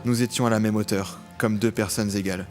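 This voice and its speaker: Un acteur joue un jeune homme tourmenté, ancien orphelin des rues, dans une fiction audio au ton solennel et littéraire. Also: male voice, on the low side, fairly even intonation, fairly quick, a little mellow, soft background music, close to an everyday voice. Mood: wistful, solemn. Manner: solemn, literary, nostalgic. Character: brooding young man, former street orphan, recalling childhood with emotion. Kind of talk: dramatic first-person audio drama narration.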